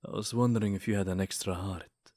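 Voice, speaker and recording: A man's voice: deep voice, steady tone, quick pace, darkish tone. Man acting as a snide, possessive vampire suitor. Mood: mocking, flirtatious.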